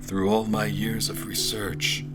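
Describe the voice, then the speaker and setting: An actor playing a patient, reflective researcher of ancient artifacts, narrating an audio drama in measured, literary phrasing. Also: male voice, fairly low pitch, flat delivery, over loud music. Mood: reflective, guarded.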